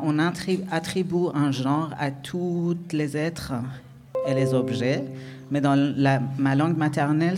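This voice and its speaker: A man with a Persian accent who speaks in French slowly, pausing often to search for words. His voice sits a little high for a man, and he answers in a reflective, hesitant way, building each idea bit by bit. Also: a little mellow. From Iran. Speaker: guest, dance artist. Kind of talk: radio interview answer.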